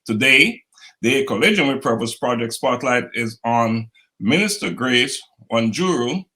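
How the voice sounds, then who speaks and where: A male host opening an interview show with formal, polished phrasing. His pitch moves lively up and down, and his tone is bright and forceful. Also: a little slow, poor audio. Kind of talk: scripted interview introduction.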